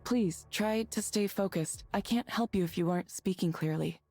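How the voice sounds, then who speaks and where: An actress playing a composed, restrained counselor, speaking in a measured and clinically polite way in a visual novel. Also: female voice, medium pitch, warm tone, close to an everyday voice. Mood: calm, professional. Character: composed, restrained female counselor reading an AI's script. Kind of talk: naturalistic visual novel voice acting. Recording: music behind the voice.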